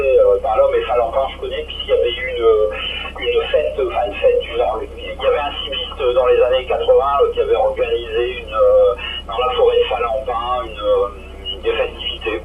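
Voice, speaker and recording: A man's voice: medium pitch, recorded from a distance. Man on a tinny, noisy radio link. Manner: rambling, searching for words. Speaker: amateur radio operator. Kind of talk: casual radio chat. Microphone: two-way radio transmission.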